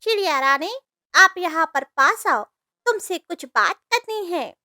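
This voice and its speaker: A woman acts out a fretful, scheming cartoon bird. The voice is extremely high, sharp and bright, urging and exclaiming, with a very dry sound. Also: lively intonation, a little slow, no echo, exaggerated voice. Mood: pleading. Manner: urgent, exclamatory, persuasive. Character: squeaky, alarmist forest birds, scheming and pleading. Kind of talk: Hindi cartoon story voice acting.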